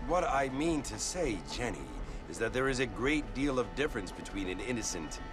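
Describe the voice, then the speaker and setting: A man's voice: medium pitch, sing-song, over music, natural voice. Man playing a stuffy, patronizing frontier preacher. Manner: halting, condescending. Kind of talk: Western video game cutscene acting.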